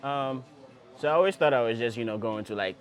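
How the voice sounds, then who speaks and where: A young man from Ghana, a startup founder, answering interview questions in a relaxed way with many pauses and ums. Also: mid-range voice. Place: restaurant table, by the sea.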